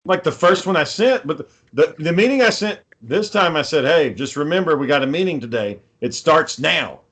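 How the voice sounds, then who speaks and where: A man's voice: a little high, lively intonation, moderate pace, a bit bright. A male podcast co-host chats casually and tells everyday stories. He is blunt and swears freely as he goes. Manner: profane, blunt. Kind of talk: casual chat, telling stories.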